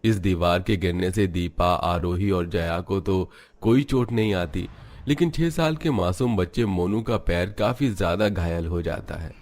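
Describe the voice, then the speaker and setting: Deep-voiced male story narrator. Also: unhurried, a little mellow. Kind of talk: scripted dramatic narration of a cartoon story.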